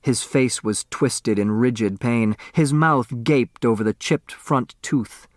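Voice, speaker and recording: Guy reading an audiobook story, slow paced, expressive and dramatic, super dry studio sound. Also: medium pitch, no echo. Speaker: audiobook narrator. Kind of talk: scripted novel narration.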